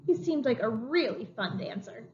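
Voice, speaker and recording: A preschool teacher reading a picture book aloud, with lively, expressive storytelling for small children. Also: female voice, on the high side, moderate pace. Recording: dull lo-fi sound with faint room noise.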